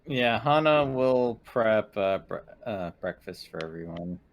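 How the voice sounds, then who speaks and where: A man chatting casually as a player at a tabletop game, speaking in a halting, trailing way. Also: fairly low pitch, animated.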